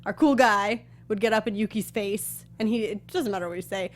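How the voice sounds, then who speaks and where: A woman's voice: mid-range voice, brisk. An American woman co-hosting a review show in casual, conversational discussion. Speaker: co-host of a film review show. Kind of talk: casual panel chat and review.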